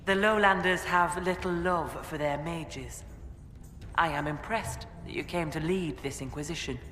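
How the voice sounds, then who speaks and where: A stately chieftain, echoing hall. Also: female voice, deep voice, measured pace, fairly bright tone, faint music behind. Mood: stately, welcoming. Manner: stately, formal pronouncements. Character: dignified female chieftain of a hill tribe, welcoming. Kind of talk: fantasy video game acting. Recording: echoey hall with background noise.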